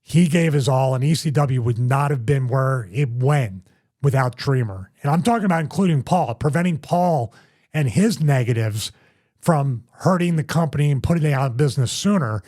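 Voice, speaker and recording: A man's voice: mid-range voice, expressive, normal speed, darkish tone. A middle-aged man hosting a casual podcast, telling long rambling stories with restarts and repeats. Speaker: host, former wrestler.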